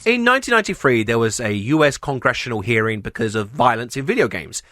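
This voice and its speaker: A man's voice: medium pitch, sing-song, quick pace, pushed and bright, a little hiss or hum. A man hosting a video essay, reading scripted commentary with wry, sarcastic asides.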